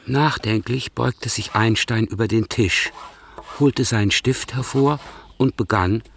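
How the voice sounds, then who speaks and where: A man narrating a radio drama in a measured, even storytelling manner. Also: medium pitch, creaky, slight background noise.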